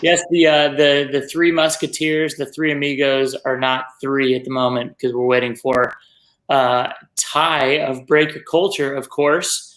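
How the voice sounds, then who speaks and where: A man's voice: mid-range voice, little pitch movement, unhurried, fairly bright tone. Chatty man in an echoey room. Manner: chatty, self-deprecating, joking. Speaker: co-host. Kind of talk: casual chat.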